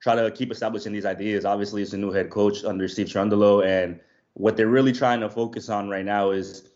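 A man from Colombia, a guest soccer reporter, giving measured analysis on a sports podcast and often hedging with "I think.". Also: fairly low pitch, fairly even intonation, darkish tone, highs cut off.

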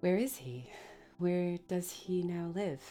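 A woman's voice: fairly low pitch, takes it slowly, dark tone, soft background music. A woman voicing an anxious young woman who asks earnest, pressing questions. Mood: urgent.

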